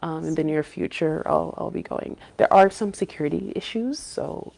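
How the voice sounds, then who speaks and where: A woman with a low, gravelly voice talks as a podcast guest. She grew up in Saudi Arabia and answers in a measured, reflective way, often pausing to find the right word. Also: darkish tone. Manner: thoughtful, searching for words with frequent pauses. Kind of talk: casual interview talk.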